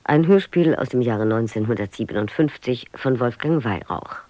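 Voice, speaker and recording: A radio announcer reads the closing credits from a script. The voice is dark and mellow with animated intonation, recorded very dry. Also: medium pitch, moderate pace, dry recording.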